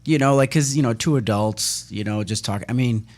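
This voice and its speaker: Man, mid-pitch, loose rambling radio chat, lots of "you know" fillers. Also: moderate pace, darkish tone. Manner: rambling, with many fillers and restarts. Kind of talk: casual chat, reminiscing.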